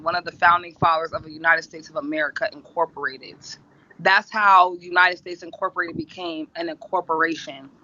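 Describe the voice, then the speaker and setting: A woman's voice: on the low side, sharp tone. A woman talking at length in an interview clip, insistent and assertive, repeating her points. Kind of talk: rambling monologue. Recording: dull lo-fi clip.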